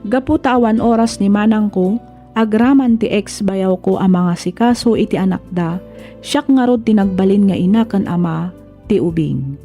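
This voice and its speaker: Mellow Ilocano woman narrating a drama. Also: medium pitch, moderate pace, soft background music. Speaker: radio drama host and narrator.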